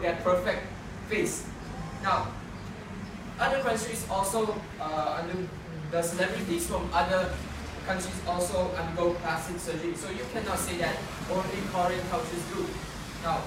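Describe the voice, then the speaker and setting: High-voiced debater, echoey and distant. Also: male voice. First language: not English. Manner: earnest, argumentative, frequent self-corrections. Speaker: student debater. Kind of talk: competitive debate speech. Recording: echoey room picked up from far off, background noise.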